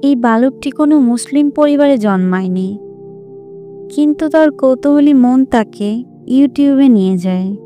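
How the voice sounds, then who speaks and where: A woman reading a scripted inspirational story as narrator, in measured, deliberate phrases. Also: high-pitched, animated, warm tone, faint music behind. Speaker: narrator of religious stories.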